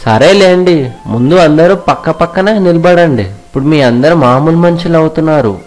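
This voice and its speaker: A man's voice: a little high, a little fast, darkish tone, soft background music, close to an everyday voice. A man playing an earnest, kind and devoted young hero in a dramatic children's storybook tale. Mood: reassuring.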